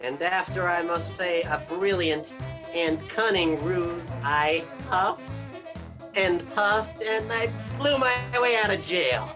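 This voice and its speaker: A woman playing a sly, pun-loving male wolf villain in a stage play, talking slow and smug. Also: lowish voice, music in the background. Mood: scheming.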